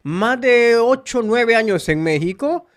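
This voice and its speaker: A man's voice: very high-pitched, animated, slow pace. A man from El Salvador with a Salvadoran Spanish accent, giving an earnest, preachy spiritual monologue straight to his viewers. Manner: earnest, preachy, addresses listeners directly. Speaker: spiritual channel host.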